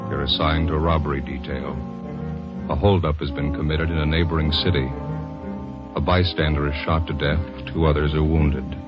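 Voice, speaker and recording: Very deep, terse old-time radio announcer. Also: male voice, little pitch movement, normal speed. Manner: terse, grave, clipped sentences. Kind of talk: 1950s radio police drama announcing. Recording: muffled old radio recording, loud music.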